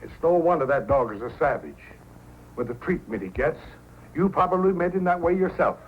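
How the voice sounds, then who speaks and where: A man's voice: on the high side, expressive, fairly quick, natural voice. An actor playing a spirited, principled Yukon dog man in an old-time radio drama, plain-spoken and challenging. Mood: indignant. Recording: muffled old radio recording, faint noise.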